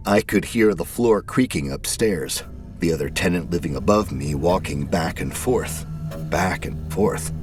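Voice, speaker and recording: A man narrating a scripted first-person story in a brooding, terse, deliberate manner. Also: sits low. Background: background music.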